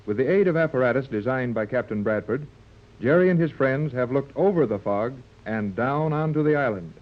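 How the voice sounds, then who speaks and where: A man's voice: mid-range voice, expressive, brisk, lightly acted. A man narrating a 1930s radio adventure serial in a scripted, dramatic storytelling style. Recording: muffled 1930s radio recording.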